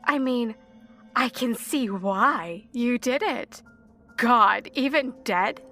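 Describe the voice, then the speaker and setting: Ancient snake monster woman, sickly sweet n taunting, super lively pitch swings, audio drama villain. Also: full character voice. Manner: taunting, sing-song mock-sweet, drawn-out words. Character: ancient female serpent monster, posing as seductive, mocking woman.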